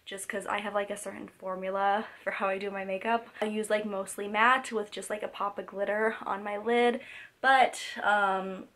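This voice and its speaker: A young woman vlogger with a voice gone hoarse from a cold. She chats casually and rambles, filling her talk with "like" and "um" and restarting her sentences as she walks through a makeup look. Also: mid-range voice, flat delivery, normal speed, fairly bright tone. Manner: chatty, rambling, many fillers and restarts. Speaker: beauty vlogger. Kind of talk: casual makeup tutorial chat. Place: home.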